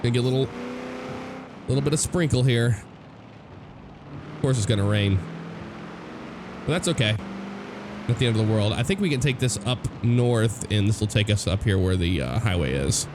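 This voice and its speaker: A young man from the United States talks through a driving game in a loose, rambling way, full of fillers and restarts. His voice sits on the low side and is hoarse from a cold. Loud engine and road sounds from the game run underneath him. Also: normal speed, pauses often. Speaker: gameplay commentator. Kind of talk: casual driving game commentary. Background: car engine and road noise from the game.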